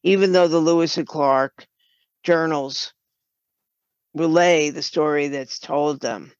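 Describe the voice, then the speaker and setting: Female podcast guest, very slow and halting delivery, dull lo-fi sound. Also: low-pitched, a little mellow, smooth, no echo. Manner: halting, with broken-off sentences. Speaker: guest, writer and audio drama producer. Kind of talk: podcast interview, explaining her work.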